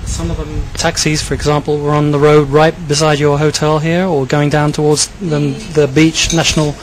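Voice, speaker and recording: A male interviewer with a fairly high voice and a very flat intonation puts questions to guests. His phrasing is halting and unscripted, built up piece by piece. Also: a little hiss or hum, rough recording. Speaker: radio and TV news interviewer.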